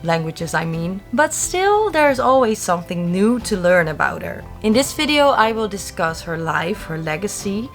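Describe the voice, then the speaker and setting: A young woman tells history to her viewers as the host of a mystery channel, in a friendly, chatty way with wry asides. Her voice sits at a middle pitch. Soft music plays quietly behind her. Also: big pitch swings, normal speed, hardly any pauses. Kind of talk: casual scripted talk to camera.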